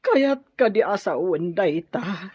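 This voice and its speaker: A woman's voice: medium pitch, lively intonation, slow pace, a little mellow, slightly rough, no room sound. A woman playing an anguished middle-aged mother in a radio drama, plaintive and given to exclaiming over her missing son. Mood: sorrowful. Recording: dull lo-fi radio drama audio.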